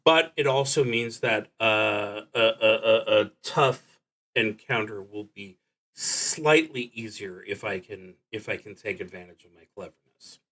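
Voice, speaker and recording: Slow-talking man with a bright, forceful voice. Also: lowish voice. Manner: halting, thinking aloud, trails off. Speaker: solo tabletop roleplaying streamer. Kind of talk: casual livestream explanation of game rules.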